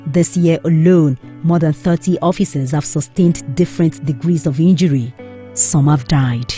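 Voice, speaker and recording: A news reporter delivers scripted voice-over narration in a formal, declarative way. The voice is warm, and soft music plays underneath. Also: mid-range voice, moderate pace.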